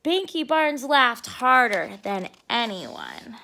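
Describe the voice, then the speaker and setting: High, bright woman reading slowly to children. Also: wildly swooping pitch, gravelly, slight background noise. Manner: explains words simply to young listeners. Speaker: storybook reader for preschoolers. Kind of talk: expressive children's read-aloud narration.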